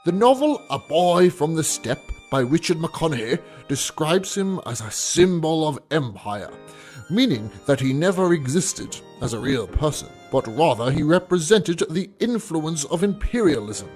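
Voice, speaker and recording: A man reading a scripted literary tale as a podcast storyteller, with a measured, formal delivery. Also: fairly high pitch, very animated, a little mellow, faint music behind.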